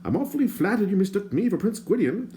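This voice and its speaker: A man's voice: high voice, sing-song, quick pace, warm tone, slight background noise, pitched above the performer's own voice. A man voicing a wordy, theatrical male bard in an audiobook, courtly and self-mocking. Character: chatty, flowery wandering male bard.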